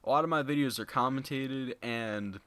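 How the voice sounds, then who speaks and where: A young man talking casually to his viewers in a flat, monotone voice, rambling with lots of fillers into a basic home microphone. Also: mid-range voice, moderate pace, no echo. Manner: rambling, filler-heavy, many "you know"s. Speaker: gaming YouTuber. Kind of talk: casual unscripted commentary to viewers. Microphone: basic low-end home mic.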